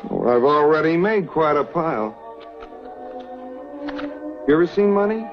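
A man's voice: a little high, big pitch swings, unhurried. A glib young city man. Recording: dull old TV soundtrack with music.

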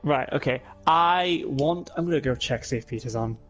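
A man's voice: medium pitch, normal speed, a bit bright, faint music behind. A young man chatting casually while gaming, explaining moves and swearing mildly. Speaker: co-player.

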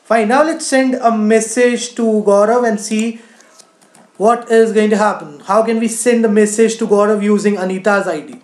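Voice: medium pitch, fairly even intonation, normal speed, a little mellow, roomy sound. A teacher narrating a screen-share tutorial in an explanatory way, often saying "you know". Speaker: coding teacher.

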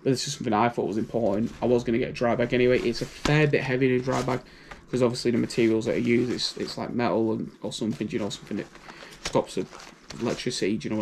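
A man's voice: mid-range voice, steady tone, quick pace, gravelly, a little hiss or hum. A man with a British accent giving a casual, rambling walkthrough of his gear, often adding "you know". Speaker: prepping channel host.

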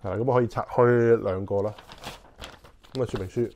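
A man's voice: mid-range voice, steady tone, fairly quick, darkish tone, slight background noise. A man in his forties with a Hong Kong Cantonese accent, unboxing camping gear in casual chat and thinking aloud with many fillers, recorded on a lapel mic in a small living room. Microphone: clip-on lapel mic.

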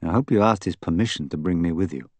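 Audiobook role: male reader as blunt British inspector, incredulous and questioning, lowish voice, dry sound. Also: fast, darkish tone, no room sound. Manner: astonished, questioning. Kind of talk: audiobook character acting.

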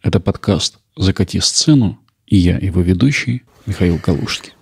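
Low, creaky-voiced man narrating. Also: animated, moderate pace, soft background music. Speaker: podcast host. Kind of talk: scripted narration with poetry reading.

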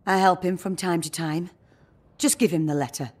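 An actress playing an earnest, secretive rebel helper in a fantasy video game, speaking plainly. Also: female voice, on the low side, fairly even intonation, moderate pace, lightly acted. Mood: earnest, uneasy.

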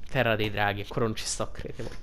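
A man casually commenting on a game playthrough, informal and halting as he reads aloud and thinks out loud. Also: fairly low pitch, level tone, clear, resonant tone. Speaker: video game streamer. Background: game music.

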